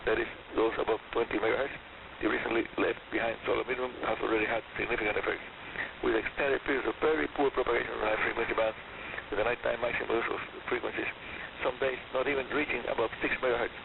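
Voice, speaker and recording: A man hosting a scripted technical radio program in a deliberate, emphatic way, heard over shortwave. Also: mid-range voice, steady tone, creaky, narrow, tinny audio. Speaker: radio host. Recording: hissy, noisy shortwave radio reception. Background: radio static and hiss.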